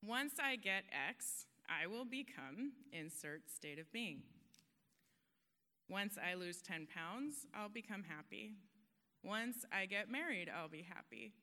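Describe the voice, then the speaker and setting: A woman's voice: mid-range voice, animated, slow pace, bright, forceful tone. A woman giving an earnest, emphatic motivational talk on a conference stage, now and then laughing at herself. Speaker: conference speaker. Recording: long hall reverb.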